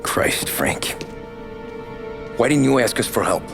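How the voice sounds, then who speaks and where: An actor playing a loyal, earnest working-class American mobster of the 1930s in a video game, plain, tough and understated. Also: male voice, mid-range voice, expressive, takes it slowly, creaky, music in the background. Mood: anguished, angry. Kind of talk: cinematic video game acting.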